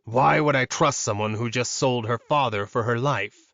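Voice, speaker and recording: A man's voice: mid-range voice, moderate pace, a bit bright, no room sound, lo-fi sound, natural voice. A man voicing a proud, wary male werewolf in an audiobook, muttering and scornful. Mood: scornful, distrustful. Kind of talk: audiobook character acting.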